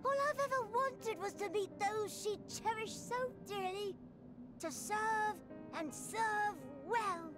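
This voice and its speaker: Squeaky, eager little rabbit creature. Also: male voice, slow, music in the background. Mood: earnest, pleading. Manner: excitable, pleading, flowery British phrasing. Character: small, eager, earnest rabbit-like creature, devoted to serving. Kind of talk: video game cutscene acting.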